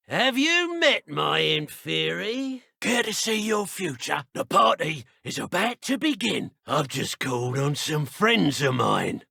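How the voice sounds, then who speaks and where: Gravelly British villain, greedy dark wizard, taunting, colloquial, dry close sound, video game lines. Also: male voice, mid-range voice, no room sound, exaggerated voice. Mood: menacing. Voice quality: gravelly, husky. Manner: taunting, rough colloquial speech. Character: menacing male dark wizard thug, gloating and greedy.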